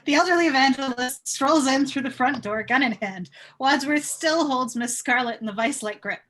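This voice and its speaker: A woman's voice: sits high, moderate pace, a bit bright. A woman hosting a table read, moving between reading stage directions and casual chat with the group.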